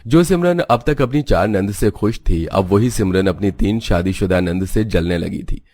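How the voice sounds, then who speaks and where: A man's voice: fairly low pitch, expressive, moderate pace, darkish tone, no room sound. A man reading scripted narration for a cartoon story as its storyteller. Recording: music behind the voice.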